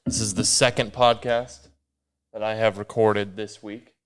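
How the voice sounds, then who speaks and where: A man's voice: lowish voice, flat delivery, unhurried, clean tone, dry recording. A young man hosting a solo podcast, rambling casually with a sniffly, stuffy nose. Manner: rambling, self-deprecating, meandering restarts. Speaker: podcast host.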